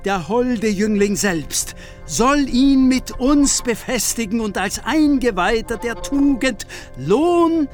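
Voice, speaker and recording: A solemn high priest addressing his order in a classical radio play. He declaims slowly and with lofty authority, stern yet benevolent, over faint music. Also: expressive, full character voice.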